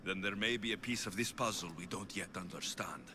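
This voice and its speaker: A man's voice: low-pitched, brisk, over music, natural voice. A man playing a brave, blunt mercenary hero in a video game, resolute and direct. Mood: earnest. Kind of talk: dramatic video game acting.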